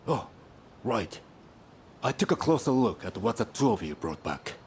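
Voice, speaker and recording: Grumbly older game doctor, lowish voice, grave, bit of noise. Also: male voice, sing-song, moderate pace, darkish tone.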